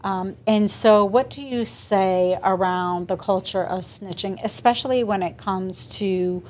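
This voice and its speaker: A woman's voice: mid-range voice, unhurried, darkish tone. A woman hosting a podcast interview, asking long winding questions and affirming her guest. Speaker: podcast host. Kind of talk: conversational interview.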